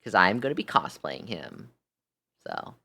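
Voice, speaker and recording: A man's voice: deep voice, wildly swooping pitch, a little slow, creaky, sharp tone. A tired man hosting a livestream, chatting casually and thinking aloud as he goes. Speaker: livestream host.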